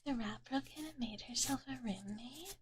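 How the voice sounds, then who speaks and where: Softly whispering woman, noisy close mic. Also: high voice. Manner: speaks of herself in third person as a dragon character; soft, hushed, halting delivery. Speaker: live ASMR streamer. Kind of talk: whispered ASMR chat to live viewers. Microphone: close ASMR microphone.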